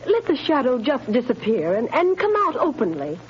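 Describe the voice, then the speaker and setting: A high anxious heroine, very expressive, old muffled radio. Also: female voice, normal speed, slight background noise. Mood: worried. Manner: worried, pleading. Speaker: lead's companion. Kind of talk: 1930s radio drama acting. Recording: muffled 1930s radio broadcast.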